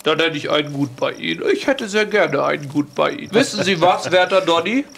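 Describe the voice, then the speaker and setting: A man's voice: fairly high pitch, lively intonation, fairly quick, vocal fry, a bit bright, husky, heavily acted. A man playing a foppish, wealthy lord in an improvised audio drama, pompous, formal and condescending. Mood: scheming, courteous. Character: smug, rich, foppish English lord.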